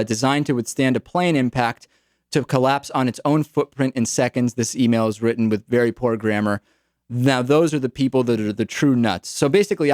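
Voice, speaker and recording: A man's voice: mid-range voice, a little mellow, no room sound, clean studio sound. A young man hosting a political talk radio show, casual and wry, often repeating his words.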